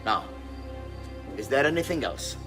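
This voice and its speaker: Cold, commanding conspirator lord. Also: male voice, mid-range voice, big pitch swings, measured pace, over music. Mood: cool command. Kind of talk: video game cutscene acting.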